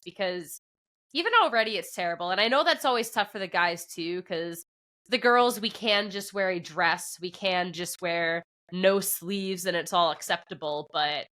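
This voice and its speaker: A woman from Canada with an English Canadian accent, co-hosting a podcast in chatty, animated storytelling. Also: mid-range voice. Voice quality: drops P sounds at the start of words. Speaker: podcast co-host. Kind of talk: casual chat.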